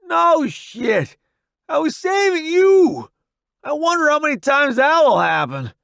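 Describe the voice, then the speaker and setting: Man playing a brash shouting young soldier. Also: sits high, very animated, a little slow, creaky, dry recording, clean studio sound, voice pushed up, exaggerated voice. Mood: pained joking. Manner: loud, shouting over explosions. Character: brash reckless young male soldier, joking under fire.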